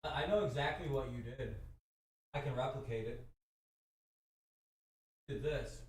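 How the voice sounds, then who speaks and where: A man's voice: mid-range voice, measured pace, husky, low-quality recording. A man in his twenties chatting casually on a livestream, rambling and profane, often stopping to restart his sentences. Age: 20s. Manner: rambling, profane, self-interrupting. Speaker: livestream host.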